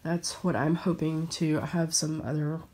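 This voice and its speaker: A middle-aged woman chatting casually about her sewing plans, relaxed and pausing with fillers. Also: low-pitched, poor audio. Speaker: sewing vlogger.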